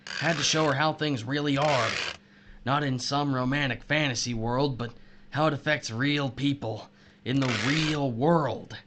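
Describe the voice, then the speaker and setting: A man playing a betrayed husband turned killer in an audio drama, sardonic and taunting, with deliberate menace. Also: mid-range voice, steady tone, noisy background, lightly acted. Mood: menacing, taunting. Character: betrayed, vengeful husband turned sadistic murderer, missile silo worker.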